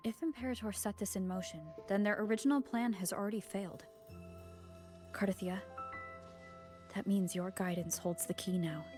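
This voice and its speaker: A woman playing a calm, supportive young adventurer in a video game, speaking gently and directly. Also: mid-range voice, moderate pace, long pauses, music in the background, lightly acted. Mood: reassuring. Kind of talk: video game character dialogue.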